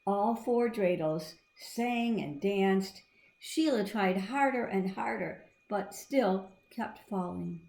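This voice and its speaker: Female storybook reader for young children, expressive and conversational, recorded in a reverberant room. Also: medium pitch, slow pace, gravelly. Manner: expressive, asking the listener questions. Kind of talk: children's read-aloud with asides to young listeners. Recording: echoey room.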